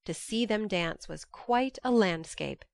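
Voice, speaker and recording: A woman reading as a lively, affectionate young woman writing letters home, expressive and confiding, in an audiobook. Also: mid-range voice, measured pace, vocal fry, no room sound, clean studio sound. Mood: cheerful, chatty.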